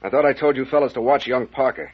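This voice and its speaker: Actor as a husky, brusque captain. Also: male voice, medium pitch, quick pace, close to an everyday voice. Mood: sardonic. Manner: brusque, sardonic, authoritative. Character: brusque, commanding male captain investigating mysteries. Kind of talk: old-time radio mystery serial acting. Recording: muffled old radio recording.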